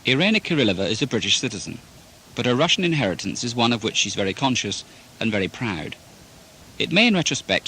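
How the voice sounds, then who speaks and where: Polished male radio presenter, bright tone. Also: mid-range voice, a little hiss or hum, poor audio. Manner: polished, scripted delivery. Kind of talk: radio documentary narration and questions.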